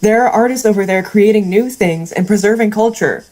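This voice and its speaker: A woman's voice: mid-range voice, a little fast, dark tone, lightly acted. A woman acting as a blunt, dry, cynical ranch hand who yearns for a better life, in an audio drama. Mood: pleading, frustrated.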